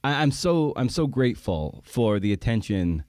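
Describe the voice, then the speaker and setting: A man's voice: mid-range voice, big pitch swings, moderate pace, a little mellow, no room sound, clean studio sound. A man hosting a podcast in casual, candid talk, rambling and self-deprecating with frequent false starts. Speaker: podcast host.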